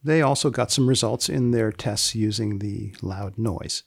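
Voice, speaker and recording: Deadpan male podcast host, creaky voice. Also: fairly low pitch, no echo. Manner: deadpan, deliberate, phrase-by-phrase delivery. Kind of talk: scripted explanatory commentary with dry asides.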